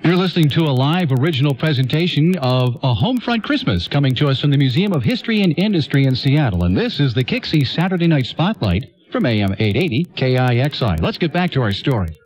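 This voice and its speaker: A man's voice: mid-range voice, big pitch swings, fast, few pauses, rough recording. A man reading a scripted station announcement as a radio announcer.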